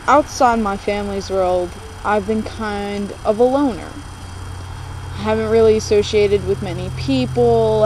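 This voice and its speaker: Slow, hesitant young American woman. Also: mid-range voice, a little mellow. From United States, born in New Jersey, moved to Virginia. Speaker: video blogger. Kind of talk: confessional casual vlog talk. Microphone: laptop microphone. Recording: noisy amateur laptop recording.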